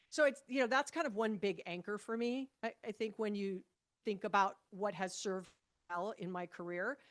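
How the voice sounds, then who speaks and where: A woman in her fifties from Hawaii, a podcast guest telling stories about her past in a relaxed, animated way, with frequent restarts and light laughter. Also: mid-range voice. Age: 50s. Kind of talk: conversational podcast interview.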